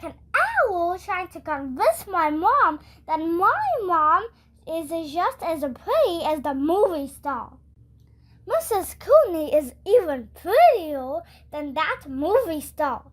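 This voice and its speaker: A childlike, very high voice reads a children's chapter book aloud. The delivery is unhurried and expressive, with big swings in pitch as the first-person story is told. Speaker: storybook reader.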